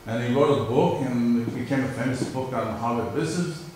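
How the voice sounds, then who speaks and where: A man's voice: mid-range voice, a little fast, a little mellow. Middle-aged male professor, New York accent, chatty lecture style, asks the class questions, recorded far off in a very echoey room. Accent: New York area American. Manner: conversational, questions the class and answers himself. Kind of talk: university lecture. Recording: very echoey room, mic far off, faint hiss.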